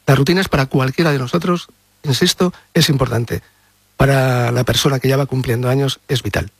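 A male radio guest with a Madrid accent and a gravelly voice. He reflects out loud with emphasis, often checking for agreement. The sound is close and dry. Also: medium pitch, steady tone, fairly quick, no echo. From Madrid, Spain. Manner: emphatic, seeks agreement with question tags. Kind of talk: reflective interview talk.

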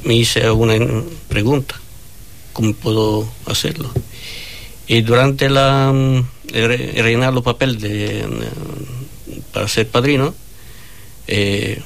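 Gravelly Italian man in his fifties. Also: lowish voice. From Italy. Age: 50s. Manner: halting, frequent "eh" and self-corrections. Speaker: seminarian. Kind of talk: personal testimony.

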